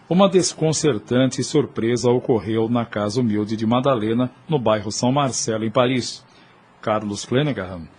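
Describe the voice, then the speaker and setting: A male narrator with a Brazilian accent reads an audio novel. His mid-pitched voice is solemn and literary in its drama, with music faintly underneath. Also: a little mellow, slightly rough.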